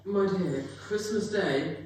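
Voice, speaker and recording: A woman playing a gentle, devoted husband and father in a dramatic reading, mild and placating. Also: lowish voice, slow. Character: Bob Cratchit, gentle, devoted poor husband. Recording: very echoey, distant, clearly noisy.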